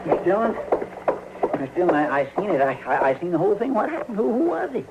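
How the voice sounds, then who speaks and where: A man playing an excitable, nosy, rustic frontier sidekick with a stammering, countrified American drawl in an old radio western. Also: high-pitched, quick pace, full character voice. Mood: agitated. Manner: stammering, repeats words when flustered. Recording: noisy, muffled old radio recording.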